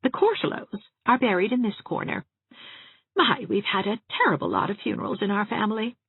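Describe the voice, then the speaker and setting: A woman's voice: medium pitch, expressive, a little fast, husky, dry recording, narrow, tinny audio, voice pushed up. A woman voicing a ladylike small-town spinster dressmaker in an audiobook, chatting genteelly with relish for grim family gossip. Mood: chatty relish. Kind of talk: acted audiobook dialogue.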